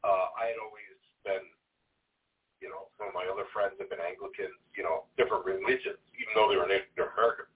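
Loud, rambling man on a phone line. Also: low voice, fairly even intonation, fast. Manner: rambling, frequent "you know, I mean" fillers. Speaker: paranormal investigator. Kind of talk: conversational interview. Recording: phone-like band-limited audio.

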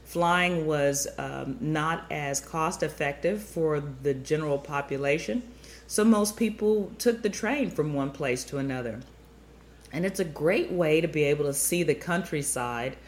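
A woman's voice: sits low, a little slow, a little hiss or hum. A middle-aged woman hosting a travel show, stuffy-nosed, chatting upbeat and rambling straight to her listeners. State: stuffy nose. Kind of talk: chatty podcast monologue.

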